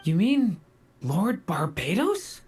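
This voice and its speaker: A woman voicing a young dragon boy in an anime video game, polite, earnest and quietly self-assured. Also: medium pitch, sing-song, husky, full character voice. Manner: polite, measured.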